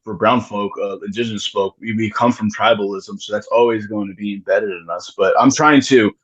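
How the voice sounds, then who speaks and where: A man's voice: on the low side, steady tone, brisk, a bit bright, breathy edge, rough recording. A man talking casually in a podcast conversation about his music, rambling with many restarts and curse words. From United States. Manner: rambling, frequent restarts and swearing. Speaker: musician guest.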